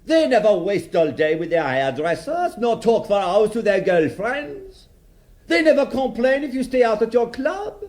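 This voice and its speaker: High-voiced, florid, gloating bourgeois villain. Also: male voice, a little mellow. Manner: florid, sardonic, declamatory, gloating. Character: brazen necrophile murderer, self-righteous bourgeois man in court. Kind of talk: theatrical radio drama monologue.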